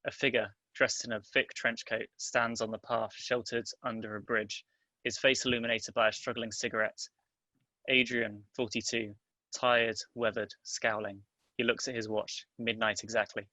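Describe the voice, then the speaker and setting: A man reading stage directions as scripted dramatic narration, in a measured, terse and deliberate way. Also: fairly low pitch, little pitch movement, pushed and bright, dry recording, rough recording.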